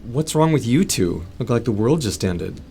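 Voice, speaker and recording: An actor playing a henpecked, exasperated husband in an audio drama, grumbling in short bursts. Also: male voice, medium pitch, expressive, normal speed, gravelly, noisy background. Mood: exasperated, defensive.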